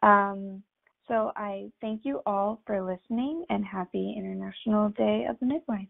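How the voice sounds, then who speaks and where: A woman's voice: medium pitch, normal speed, gravelly. A young woman, a midwifery student, giving an earnest recorded class presentation with frequent ums. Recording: tinny phone-like audio.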